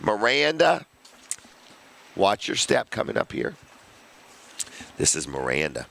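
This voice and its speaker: Raspy low-voiced male radio host. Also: huge pitch swings, takes it slowly, fairly bright tone. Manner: easygoing, joking banter. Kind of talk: casual live radio chat. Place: restaurant set for live broadcast.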